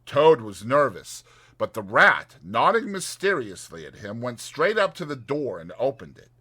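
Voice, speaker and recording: A man narrates a classic story in dramatized audiobook style. His delivery is bright and forceful, with hugely varied, expressive intonation. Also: mid-range voice, moderate pace, breathy edge.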